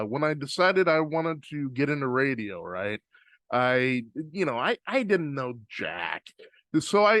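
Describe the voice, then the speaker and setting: A man's voice: medium pitch, a bit bright, dry recording. A man hosting a podcast and reading listener mail in casual chat, rambling with frequent fillers and restarts. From US Pacific Northwest. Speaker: podcast host. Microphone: close studio microphone.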